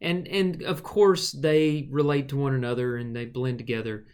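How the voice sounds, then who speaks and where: A man with a thin, reedy voice, co-hosting a podcast and explaining Bible passages in a thoughtful, unhurried way, with frequent fillers. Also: medium pitch, steady tone, a little mellow, smooth. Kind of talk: casual teaching conversation.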